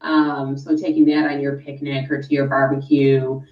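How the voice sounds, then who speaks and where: A woman's voice: very deep voice, flat delivery, moderate pace, reverberant, distant mic, highs cut off. A woman guest in a radio interview, explaining things informatively with frequent fillers. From California. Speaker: interview guest, sustainability expert.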